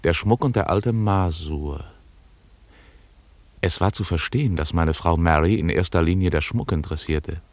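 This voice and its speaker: A man's voice: deep voice, moderate pace, darkish tone, no room sound. An actor playing an urgent, impatient New York private detective in a German radio play, who also narrates his own story. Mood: measured, confiding.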